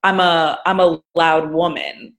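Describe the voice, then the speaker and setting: A woman's voice: sits low, level tone, slow. A woman in casual conversation, thoughtful and hesitant, with many fillers.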